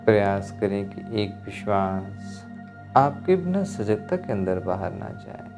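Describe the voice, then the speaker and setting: Man, meditation instructor, low voice, very slow pace, calm measured phrasing, pauses between instructions. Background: music.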